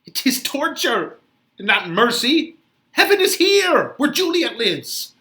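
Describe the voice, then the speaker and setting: A man playing a young banished lover in a dramatic Shakespeare reading, melodramatic and anguished, with shouted outbursts. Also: extremely high pitch, very animated, slow pace, pitched above the performer's own voice, full character voice. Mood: frantic despair. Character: young Romeo, banished lover, frantic and despairing.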